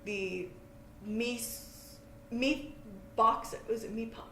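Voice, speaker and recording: A woman hosting a podcast in casual, chatty talk, scatterbrained, jokey and quick to poke fun at herself. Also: on the high side, pushed and bright. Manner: scatterbrained, self-deprecating, jokey. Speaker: podcast host. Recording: echoey room, faint background noise.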